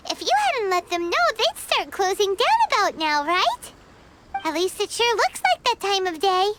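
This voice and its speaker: A woman voicing a tiny, childlike female game companion, chirpy, outspoken and squeaky. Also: moderate pace, very noisy. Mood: cheeky. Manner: refers to self in third person, excitable. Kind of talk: video game voice acting.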